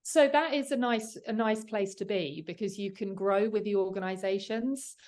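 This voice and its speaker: A woman's voice: mid-range voice, moderate pace, a little mellow. A woman commercial solicitor, a guest on the show, explaining in an earnest, conversational way with frequent fillers and restarts. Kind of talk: conversational interview.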